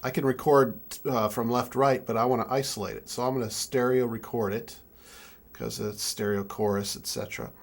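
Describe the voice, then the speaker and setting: A young adult man giving an informal hands-on tutorial, speaking haltingly as he thinks aloud while working. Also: medium pitch, fairly even intonation. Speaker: music keyboard tutorial presenter. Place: indoor room with draped backdrop.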